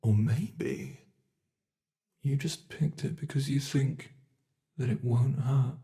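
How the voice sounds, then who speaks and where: A man voicing a sadistic male monster in an ASMR horror roleplay, talking in a slow, taunting way that relishes cruelty. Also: medium pitch, steady tone, vocal fry, warm tone. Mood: playful, sadistic.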